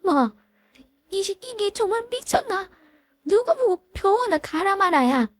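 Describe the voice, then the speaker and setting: A woman's voice: extremely high pitch, big pitch swings, unhurried, voice pushed up, full character voice. A woman voicing an arrogant former school bully, a spiteful woman who taunts and scorns her old classmate. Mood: furious.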